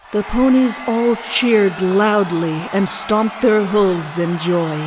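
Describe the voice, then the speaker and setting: A woman's voice: mid-range voice, noisy background. A woman reading scripted story narration as the narrator of a fan fiction. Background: music.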